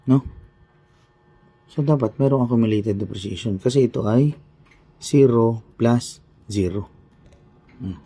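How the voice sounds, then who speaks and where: A man's voice: medium pitch, unhurried, pauses often, dark tone, breathy edge. A man with a Filipino accent teaching an informal accounting lesson, thinking aloud step by step and repeating himself as he works through an example.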